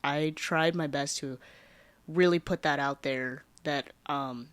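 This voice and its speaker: A young woman talks casually into a close mic, recounting her own past with plenty of fillers. Her phrases often fade softly at the ends. The sound is dry with no room around it. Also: low voice, fairly even intonation, slow pace, no room sound. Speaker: podcast host. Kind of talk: casual personal storytelling.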